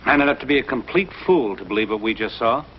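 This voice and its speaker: A man's voice: mid-range voice, expressive, fast, lightly acted. A man playing a cautious, plain-spoken industry expert in an old TV drama, giving considered, matter-of-fact opinions. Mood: sober, candid. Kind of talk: mid-century American TV drama acting. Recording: dull, noisy vintage TV soundtrack.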